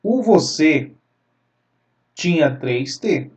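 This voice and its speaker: Slow, patient math teacher. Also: male voice, on the high side, expressive, darkish tone, recorded from a distance. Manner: slow, repetitive explaining, drawing-pointing asides. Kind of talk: step-by-step math lesson.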